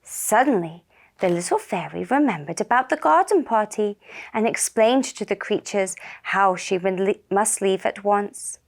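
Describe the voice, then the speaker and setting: A woman telling a children's puppet story in a gentle, deliberate and soothing manner. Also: medium pitch, lively intonation, vocal fry. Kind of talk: slow narrated children's story.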